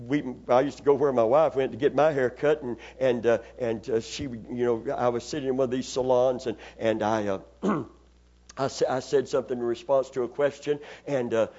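A man's voice: medium pitch, steady tone, normal speed, mellow, muffled recording. A man preaching a sermon as a pastor, calling on the congregation for amens and responses. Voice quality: raspy after Sunday preaching. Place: church sanctuary.

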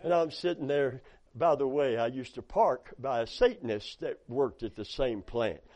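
A hoarse man preaching a sermon, telling folksy stories and calling out amen and hallelujah. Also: mid-range voice, expressive, moderate pace, darkish tone. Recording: dull lo-fi sound.

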